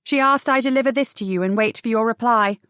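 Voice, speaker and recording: A woman's voice: on the high side, quick pace, a bit bright, smooth, no room sound, clean studio sound, natural voice. A woman playing a severe, confident female secretary in an audiobook, formal and businesslike. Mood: formal, brisk. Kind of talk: audiobook character dialogue.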